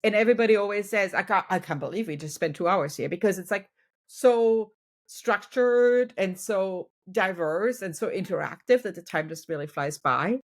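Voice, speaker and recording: Middle-aged woman, German accent, mid-pitched. Coach as interview guest, conversational, motivational, emphatic. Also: animated, moderate pace. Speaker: business and wellness coach, podcast guest.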